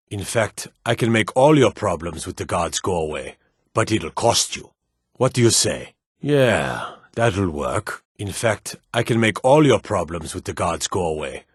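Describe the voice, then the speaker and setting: A stern city guard from a fantasy video game, with a low, slightly husky voice. He is gruff and authoritative, handing out clipped threats like a weary lawman who could be bought. The recording is dry and close. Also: expressive, unhurried, no room sound, full character voice. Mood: sly, conspiratorial. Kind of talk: scripted fantasy game voice lines.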